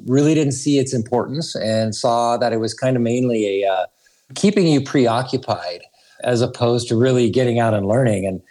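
A man's voice: medium pitch, moderate pace, warm tone. A man raised in Idaho, guest on a podcast, speaking earnestly and thoughtfully with frequent restarts. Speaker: school research director, guest. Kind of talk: podcast interview.

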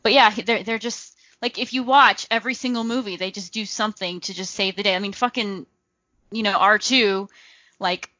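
A woman co-hosting a casual chat about films, emphatic, swearing freely and quick to laugh. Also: mid-range voice, fairly even intonation, bright, forceful tone, dry recording, highs cut off.

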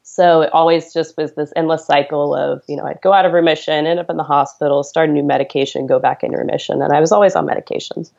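A woman's voice: sits low, fairly even intonation, fast talker, gravelly, hardly any pauses, a little mellow, highs cut off. A woman in her thirties, a podcast guest, telling her story in an earnest, chatty way. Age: 30s. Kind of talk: conversational storytelling.

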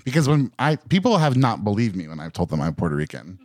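Guy from westchester hosting a podcast, easygoing, asks lots of questions, low voice. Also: fast, no room sound, clean studio sound. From Westchester County, New York. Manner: relaxed, chatty, asks questions. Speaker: podcast host. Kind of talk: casual group conversation. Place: indoor room.

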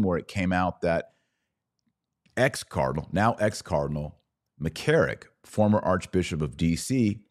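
A man hosting a podcast, giving political and religious commentary in a deliberate way with emphatic pauses. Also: rumbling low, darkish tone. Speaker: podcast host.

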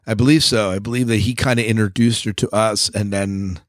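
A man's voice: fairly low pitch, steady tone, brisk, dry recording, clean studio sound. A man hosting a podcast in a casual, chatty co-host style.

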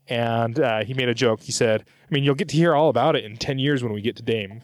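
A man's voice: medium pitch, dry recording, clean studio sound. A middle-aged man hosting a podcast, chatting casually and reading out listener comments in a rambling, self-correcting way. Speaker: podcast host.

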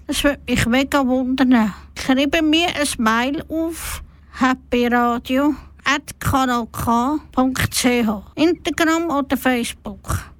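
A woman's voice: high voice, dry recording. A woman in her late forties with a Swiss German accent, hosting radio with questions and personal stories. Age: late 40s. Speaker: radio reporter and host. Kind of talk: street interview and personal radio storytelling.

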